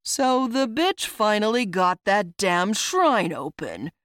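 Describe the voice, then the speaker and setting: A woman voicing a menacing, sly male general with a rough, threatening manner in a fantasy audiobook. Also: medium pitch, sing-song, takes it slowly, fairly bright tone, no room sound, studio quality, voice pushed up. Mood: smug, menacing. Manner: threatening, demanding.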